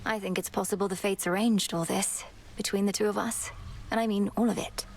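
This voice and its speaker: An actress playing a poised young goddess princess in a video game, formal and composed, earnest and guardedly fond. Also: female voice, medium pitch, steady tone, brisk, close to an everyday voice, low-quality recording. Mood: thoughtful, guarded. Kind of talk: scripted video game romance dialogue.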